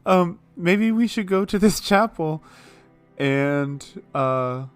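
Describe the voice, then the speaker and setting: Higher-voiced man, jokey tabletop player. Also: expressive, takes it slowly, clear, resonant tone, soft background music. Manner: jokey, informal. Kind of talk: casual in-character game chat.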